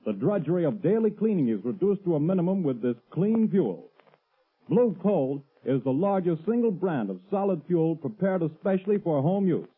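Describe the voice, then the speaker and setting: A man's voice: a little high, breathy edge. A man working as a radio announcer, reading a sponsor's commercial in a polished, formal 1930s style. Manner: polished, formal salesman delivery. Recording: muffled, narrow old radio recording.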